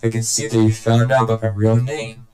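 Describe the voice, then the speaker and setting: A man's voice: on the low side, flat delivery, fairly quick, mellow, faint music behind, close to an everyday voice. A man playing a mocking, menacing game arch-villain with a superior, taunting, grandiose delivery. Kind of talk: fan game cutscene voice acting.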